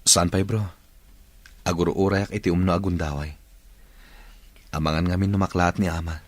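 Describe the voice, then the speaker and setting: Devoted young ilocano son in a radio drama, lowish voice, respectful, really dry close sound. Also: male voice, fairly even intonation, moderate pace, dry recording. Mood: earnest. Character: devoted, respectful young adult son, earnest about love.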